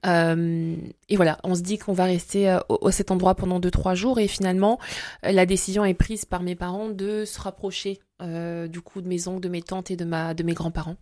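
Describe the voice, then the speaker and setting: Hesitant woman in her forties, low voice. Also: level tone, fairly quick, darkish tone, no echo, clean studio sound. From Congo-Brazzaville. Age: 40s. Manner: hesitant, many fillers and restarts. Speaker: guest. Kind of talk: testimony interview.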